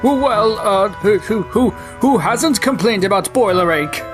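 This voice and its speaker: Man voicing a timid, slow-spoken worried steam engine. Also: sits high, expressive, music in the background. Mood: flustered. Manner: hesitant, stammering. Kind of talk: cartoon-style character dub.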